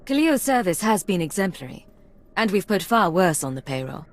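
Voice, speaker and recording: A determined imperial spy in a video game. Her voice sits low for a woman, and she speaks formally and firmly, with tight control. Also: big pitch swings, normal speed. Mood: controlled, firm. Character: composed, determined female imperial secret agent.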